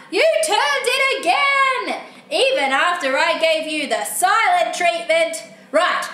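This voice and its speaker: A woman voicing a cranky, pleading male storybook character with melodramatic, drawn-out exclamations. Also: extremely high pitch, a bit bright, exaggerated voice. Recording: roomy echo, faint background noise.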